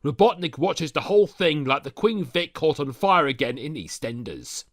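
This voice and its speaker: A man's voice: fairly high pitch, big pitch swings, normal speed, background noise. A man narrating a scripted comic story recap, dropping sardonic asides to the listener. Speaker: narrator of a fan audio story.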